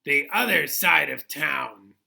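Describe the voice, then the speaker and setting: An odd, unflappable storybook passenger, voiced by a man, who corrects people in terse, stubborn little answers. He is deadpan and deliberate, stretching his words in a forceful tone. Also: fairly high pitch, creaky, heavily acted. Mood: terse, insistent.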